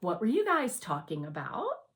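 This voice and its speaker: A woman's voice: a little high, huge pitch swings, normal speed, a bit bright, voice pushed up, exaggerated voice. A woman voicing a friendly, self-assured schoolgirl in a storybook, with a casual, assured manner. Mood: curious.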